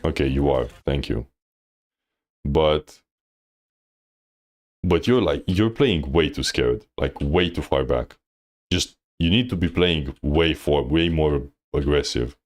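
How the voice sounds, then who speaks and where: A man's voice: rumbling low, slow pace, pauses often. A man coaching on a live stream, reviewing gameplay bluntly with lots of fillers. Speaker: game coach.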